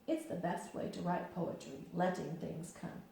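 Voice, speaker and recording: A woman voicing a gentle, simple-minded young male bear, speaking softly and earnestly in a very echoey room. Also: on the low side, fairly even intonation, moderate pace, vocal fry, a little hiss or hum.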